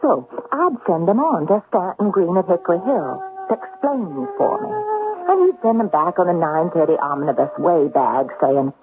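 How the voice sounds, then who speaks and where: An actress playing a spirited, plainspoken and indignant frontier postmistress in a radio drama. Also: female voice, fairly low pitch. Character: spirited, indignant young frontier widow running a post office. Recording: narrow-band vintage radio, heavy noise.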